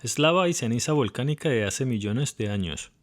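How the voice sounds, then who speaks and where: Lively scripted man narrating a travel voice-over. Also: mid-range voice, fairly quick. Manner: polished, lightly witty narration.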